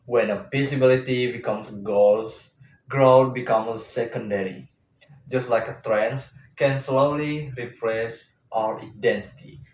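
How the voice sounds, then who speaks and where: A man gives a prepared motivational speech at an unhurried, slow pace, phrase by deliberate phrase. His mid-pitched voice comes from some distance in an echoey room. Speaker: speech contestant. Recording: echoey room, distant mic, faint noise.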